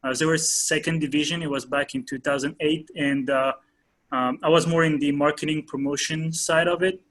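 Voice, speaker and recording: A man in his thirties from France, a soccer coach answering interview questions in a hesitant way with many pauses and fillers. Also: on the high side, fairly even intonation, fairly bright tone.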